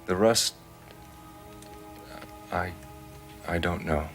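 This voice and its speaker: A man playing a composed military colonel, speaking in a measured, solemn storyteller's way in a television drama. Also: deep voice, creaky, soft background music, full character voice. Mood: solemn storytelling.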